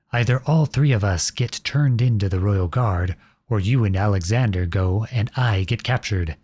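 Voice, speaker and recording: A man playing a protective, noble outlaw prince in an earnest, dramatic ASMR audio roleplay, with a soft, husky voice close to the mic. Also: on the low side, no echo. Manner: earnest, dramatic, addresses unseen listeners directly. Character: outlawed young prince, protective, noble, devoted to his beloved. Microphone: close studio-style microphone, dry.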